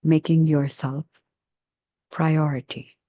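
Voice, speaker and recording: A woman leading a guided meditation in a soft, soothing, measured way with long pauses between phrases. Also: sits low, level tone, no room sound, highs cut off.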